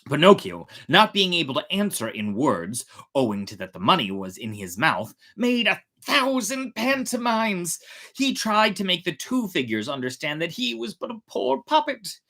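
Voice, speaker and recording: A woman narrating a storybook as host, in a theatrical, expressive reading style. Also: fairly low pitch, moderate pace. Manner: theatrical, dramatic.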